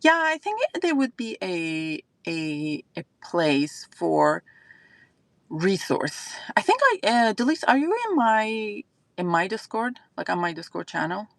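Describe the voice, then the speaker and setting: A woman's voice: mid-range voice, sing-song, measured pace, gravelly, no room sound. A woman from Iran with an Iranian accent, whose first language is Azeri, hosting a casual livestream chat and thinking aloud with lots of fillers. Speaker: fragrance channel host.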